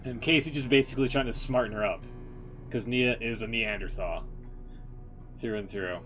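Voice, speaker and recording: A male game streamer talks casually with music playing behind him. He rambles through his opinions in slangy, sweary language at a middling pitch. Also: normal speed, fairly bright tone. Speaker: video game livestreamer. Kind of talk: casual, rambling ranked commentary.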